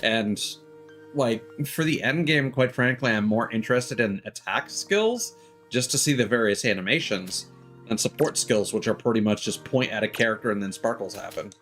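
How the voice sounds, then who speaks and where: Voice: mid-range voice, animated, normal speed, fairly bright tone. A game streamer giving casual gameplay commentary, rambling and musing aloud. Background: video game music.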